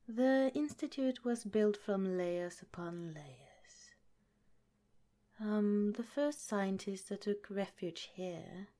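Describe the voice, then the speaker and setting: A woman voicing a sly but gentle scientist who runs an underground lab, in a soft, half-whispered ASMR roleplay. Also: mid-range voice. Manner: sweetly hospitable, with a scheming edge.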